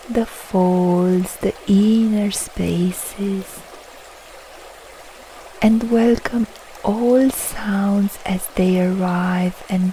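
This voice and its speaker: A woman guiding a yoga nidra relaxation meditation, speaking in soft, soothing, unhurried short phrases with long pauses. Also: fairly low pitch, a little hiss or hum, low-quality recording. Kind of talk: slow guided relaxation meditation.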